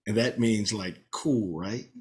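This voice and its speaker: A man's voice: fairly low pitch, slow. A man playing an eager, jittery police inspector from Detroit in a comedy table read, chummy and seeking reassurance. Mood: upbeat.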